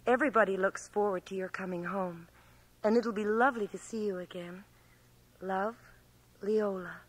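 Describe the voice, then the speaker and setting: A woman's voice: medium pitch, fairly even intonation, normal speed, husky, lightly acted, low-quality recording. An actress playing a sweet, simple small-town sweetheart reading out her letter in a radio drama. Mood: sweet, flat. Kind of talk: 1980 radio drama, letter read aloud.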